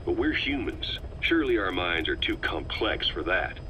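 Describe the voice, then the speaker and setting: An actor playing a hardened male military commander in a video game, gruff and sceptical, asking terse, grave questions. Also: low-pitched, sing-song, normal speed, pushed and bright, full character voice, rough recording. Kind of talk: video game audio drama acting. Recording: light background noise on tape.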